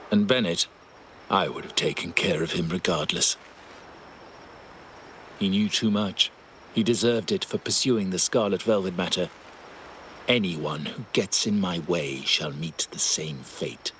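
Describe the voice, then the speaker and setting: A middle-aged head of a crime family in a dramatic video game. His voice is very low and his delivery is formal and calculating. He speaks with measured, cold menace over faint noise. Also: fairly bright tone. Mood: cold, menacing.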